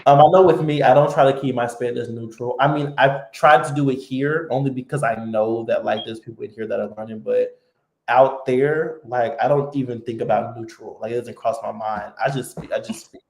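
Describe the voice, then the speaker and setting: A man hosting a casual live-stream chat, relaxed and talkative with lots of fillers. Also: medium pitch, brisk, creaky, echoey room, rough recording. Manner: relaxed, chatty, many fillers. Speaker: host.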